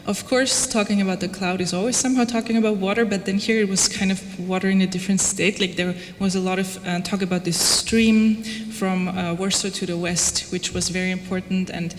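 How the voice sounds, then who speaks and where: A woman's voice: medium pitch, steady tone, brisk, few pauses. A woman from Austria giving an informal lecture in a conversational, wry way, peppered with casual asides. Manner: conversational, wry, frequent "like" and "you know". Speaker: lecturer presenting own research project. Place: reverberant lecture room, before an audience. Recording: echoey hall, background noise.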